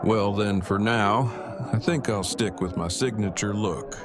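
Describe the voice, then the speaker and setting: A man playing an awkward, earnest, bookish survivor in an audio drama, speaking in formal, precise, wordy sentences with a deadpan delivery. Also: fairly low pitch, a little slow, music in the background, crowd noise, lightly acted. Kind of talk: fan audio drama acting.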